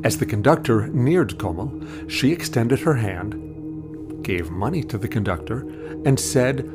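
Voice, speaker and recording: A man's voice: medium pitch, animated, a little slow, over music. A man narrating an audio drama as a scripted story.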